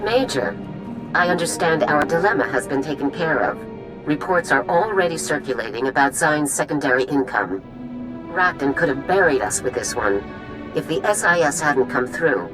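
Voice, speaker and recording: A woman playing a stern, authoritative military general, formal and measured, in a video game. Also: sits low, animated, poor audio. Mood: composed. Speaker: commanding officer. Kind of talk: video game voice acting, briefing. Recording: music behind the voice.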